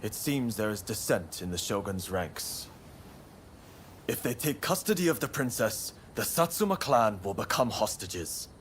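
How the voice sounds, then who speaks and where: An actor playing a resolute, courteous samurai-era fighter in a game cutscene, with a measured, commanding and formal manner. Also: male voice, fairly low pitch, a bit bright. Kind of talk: dramatic video game cutscene acting. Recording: faint background noise and ambience.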